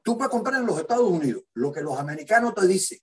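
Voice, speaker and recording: An older man from Cuba with a Cuban Spanish accent, a guest analyst talking in an emphatic, lively way. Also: high voice, fast talker. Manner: lively, emphatic, acts out quotes in varied voices. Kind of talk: animated interview talk.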